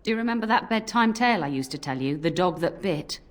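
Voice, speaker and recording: Old scolding nanny played by a woman, low-pitched. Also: animated, fast talker, close to an everyday voice. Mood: teasing, fond. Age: older. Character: old nanny, scolding but fond, telling cautionary tales. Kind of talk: scripted game voice acting, storytelling. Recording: music under the voice.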